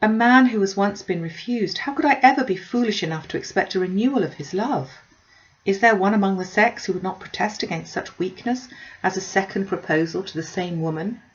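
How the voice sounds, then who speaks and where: A woman's voice: mid-range voice, brisk, muffled recording, lightly acted. Spirited, pointed young woman read by a woman. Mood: indignant. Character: witty, spirited young woman, proud and questioning.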